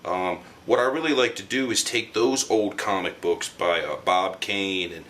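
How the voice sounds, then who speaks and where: A man answering documentary interview questions as a comic book artist, speaking in a halting but emphatic way. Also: sits low, fairly bright tone. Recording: faint background noise.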